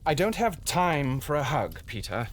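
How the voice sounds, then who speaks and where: Stiff, ambitious gentleman with swooping intonation. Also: on the high side, sing-song, unhurried, slight background noise. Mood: ambitious, impatient. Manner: formal, earnest, instructive. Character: stiff, ambitious grown man, banker turned would-be island ruler. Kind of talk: audio drama acting.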